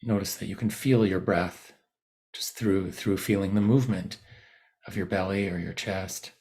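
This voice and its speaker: A man guiding a body scan meditation in a soothing, unhurried manner, leaving long pauses between short phrases. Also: low voice, fairly even intonation, darkish tone. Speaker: meditation guide. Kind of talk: guided body scan meditation, unscripted instruction.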